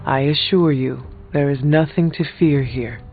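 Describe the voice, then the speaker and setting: Courteous, slightly gravelly supernatural warrior. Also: male voice, a little high. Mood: polite, calm. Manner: formal, measured, deadpan. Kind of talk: video game dialogue acting. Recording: tinny band-limited audio, music underneath. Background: background music.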